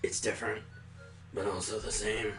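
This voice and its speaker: Withdrawn young girl, acted by a man, low murmur, terse and cryptic, visual novel. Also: normal speed, reverberant, distant mic, soft background music, lightly acted. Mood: withdrawn, wary. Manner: hushed, flat, terse.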